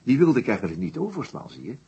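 Husky wry detective in an old radio play, bit dull recording, casual and unbothered. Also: male voice, medium pitch, huge pitch swings, quick pace, mellow, exaggerated voice. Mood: wry, relaxed. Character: unflappable, wry male Parisian police inspector.

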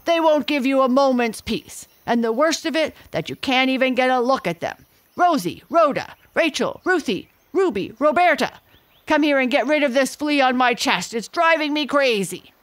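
A woman's voice: lively intonation, normal speed. A woman doing a fierce blustering gorilla. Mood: raging. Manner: booming, blustering. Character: fierce bossy male gorilla, loud and impatient.

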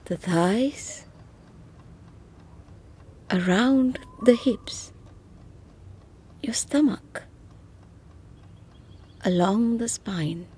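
A woman guiding a relaxation exercise, speaking softly with long pauses and now and then slipping toward a whisper. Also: medium pitch, slight background noise. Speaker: meditation guide. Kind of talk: guided relaxation narration.

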